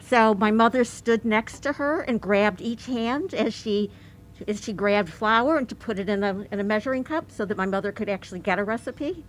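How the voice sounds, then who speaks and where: A woman's voice: medium pitch, normal speed, poor audio. A woman from Detroit with an American accent, telling a conversational personal anecdote as an audience member before asking a question. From Detroit, United States. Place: bookstore event.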